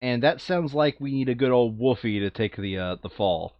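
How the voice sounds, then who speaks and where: A man's voice: mid-range voice, animated, moderate pace, dry recording. A man chatting casually and jokily as he plays a tabletop game. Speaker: board game player. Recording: dull lo-fi sound.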